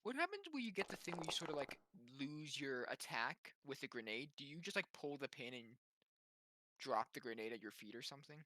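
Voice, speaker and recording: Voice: medium pitch, sing-song, fairly quick, pushed and bright. A player in a tabletop game, chatting casually. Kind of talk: casual chat.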